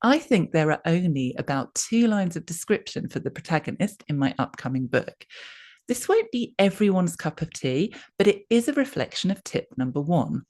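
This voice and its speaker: A woman with a low-pitched voice reads a written article aloud. Her delivery is scripted narration, measured and clearly phrased, as for an advice piece. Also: sing-song, darkish tone.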